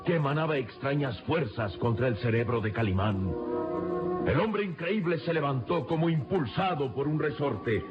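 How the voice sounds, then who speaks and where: A man's voice: medium pitch, expressive, normal speed. A man narrating an old adventure radio serial in a solemn, emphatic, suspenseful style. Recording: dull lo-fi radio serial, loud music.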